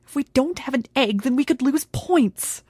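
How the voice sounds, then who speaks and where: Woman acting fiery female mayor, high and bright, animated, bossy, scolding, comedy radio play. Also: normal speed, husky, no echo. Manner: sharp, scolding, commanding.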